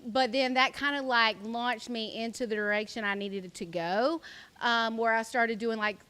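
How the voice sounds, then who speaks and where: Woman, Southern country accent, from South Carolina, somewhat high voice, chatty storyteller, lots of "like", interview guest. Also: fairly even intonation, normal speed, sharp tone, smooth. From South Carolina, USA. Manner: chatty storytelling, heavy use of "like". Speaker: guest, influencer. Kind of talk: casual interview chat.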